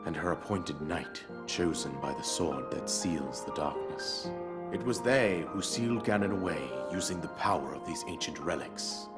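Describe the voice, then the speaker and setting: A solemn old king in a video game, mourning his lost kingdom. His voice sits very low and he speaks in a grave, formal, measured way, with orchestral score clearly playing underneath. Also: expressive. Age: older. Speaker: narrator of past events in a cutscene. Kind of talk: video game cutscene voice acting. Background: orchestral music.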